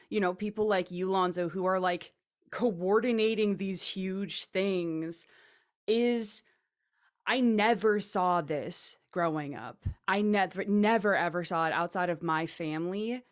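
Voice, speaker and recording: A woman speaking earnestly and with feeling in a casual panel discussion, her voice often trailing softer. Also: lowish voice. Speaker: panel guest.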